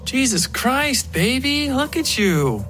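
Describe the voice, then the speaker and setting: A man's voice: very high voice, big pitch swings, takes it slowly, sharp tone, soft background music, pitched above the performer's own voice, exaggerated voice. A man voicing a smug husband who gushes with false, patronizing sweetness in a horror story. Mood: gushing. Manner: gushing, patronizing.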